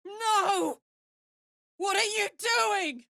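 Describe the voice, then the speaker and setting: Brash, big-hearted warrior, unhurried. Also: female voice, very high-pitched, vocal fry, sharp tone, no echo, clean studio sound, heavily acted. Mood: alarmed, upset. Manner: earnest, blunt, slangy and informal. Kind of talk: video game voice acting.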